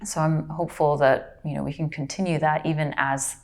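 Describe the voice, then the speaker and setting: Low-voiced woman, reflective storyteller. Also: little pitch movement, fairly quick, a little mellow. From Brazil. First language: Portuguese. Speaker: actor and audiobook narrator. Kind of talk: casual interview.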